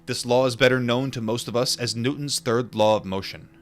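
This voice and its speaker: A man reading a book aloud and adding informal asides, in a measured, deliberate manner. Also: mid-range voice, faint music behind. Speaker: audiobook reader and commentator.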